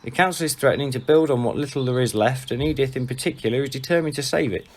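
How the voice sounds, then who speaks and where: A man playing a perceptive, laid-back and quietly frank friend in an audio drama, unhurried and matter-of-fact. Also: medium pitch, natural voice. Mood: frank, calm.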